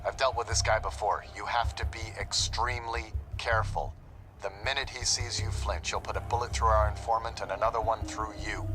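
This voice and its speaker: A man's voice: lowish voice, steady tone, fairly quick, music in the background, lightly acted. A man playing a stern, businesslike commanding agent in a video game, giving orders in an authoritative, clipped, impatient manner. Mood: serious, instructing.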